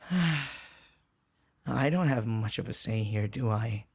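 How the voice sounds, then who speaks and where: A man's voice: medium pitch, lively intonation, very slow, vocal fry, slightly rough, exaggerated voice. A man playing a flustered, submissive young human servant in an audio roleplay, stammering, hesitant and deferential. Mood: hesitant, resigned. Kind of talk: audio roleplay acting addressed to the listener.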